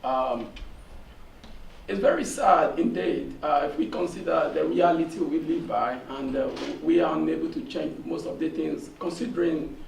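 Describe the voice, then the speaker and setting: Hoarse guy from nigeria in a seminar audience, gravelly, echoey room. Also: a little high, a little mellow. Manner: halting, many fillers, earnest. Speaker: audience member asking a question. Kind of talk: long question from the audience. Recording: echoey room, distant, faint noise.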